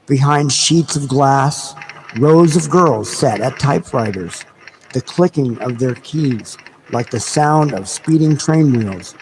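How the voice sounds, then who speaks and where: A man reading a novel aloud in a dramatic, deliberate, measured style with pauses. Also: mid-range voice, lively intonation, a little mellow. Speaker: audiobook reader. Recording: noisy recording with background ambience. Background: background ambience sounds.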